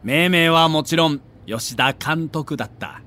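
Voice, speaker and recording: A man's voice: fairly high pitch, a little slow, bright, forceful tone. A man narrating a scripted documentary as a story, with dramatic emphasis.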